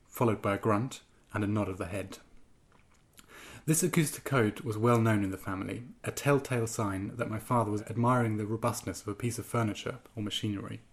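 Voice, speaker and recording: Man from Germany, somewhat low voice, halting interview answers, steady reading. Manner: hesitant, many ums and restarts when speaking freely. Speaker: guest writer. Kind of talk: interview answers and reading from his own piece.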